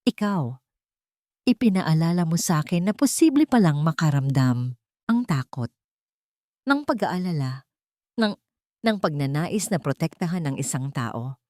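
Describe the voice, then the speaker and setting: A woman voicing a wounded, grieving man who confesses quietly in a romance story. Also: low-pitched, sing-song, measured pace, long pauses, no room sound, studio quality. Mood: sorrowful, tender.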